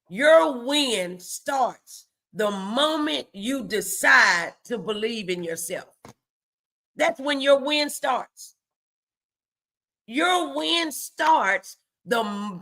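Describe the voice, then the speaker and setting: A woman's voice: on the high side, a bit bright. A woman hosting a faith-based motivational livestream, speaking emphatically and repeating key phrases to exhort her listeners.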